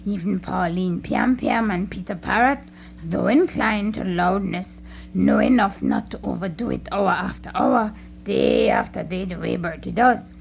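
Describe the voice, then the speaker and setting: A woman acts out a solemn, self-important animal leader in a radio play. The speech is slow and gravelly, with pompous swoops in pitch and faint noise behind it. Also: big pitch swings. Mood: orderly. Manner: pompous, deliberate, orderly. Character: earnest, self-important chairing animal, national animal. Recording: faintly noisy live radio recording.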